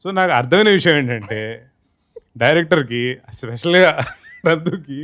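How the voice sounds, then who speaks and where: A man's voice: fairly high pitch, animated, moderate pace, fairly bright tone. A man with a Telugu accent telling anecdotes casually in a group interview, mixing in English phrases. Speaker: film team member being interviewed.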